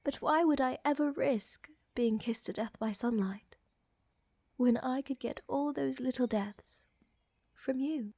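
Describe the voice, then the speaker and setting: A woman playing a flirtatious, doting aristocratic vampire countess in an intimate ASMR audio roleplay, with a soft, breathy murmur and elegant, teasing, soothing phrasing. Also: high voice, a little slow, no echo. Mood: teasing, affectionate.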